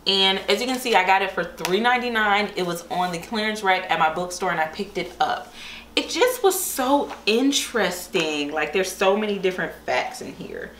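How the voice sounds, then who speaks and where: A woman's voice: medium pitch, animated, normal speed, fairly bright tone. A young woman chatting casually and enthusiastically to camera about things she likes, in an informal book vlogger's style. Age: young adult. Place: small bare-walled room indoors. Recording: echoey room with faint background hiss.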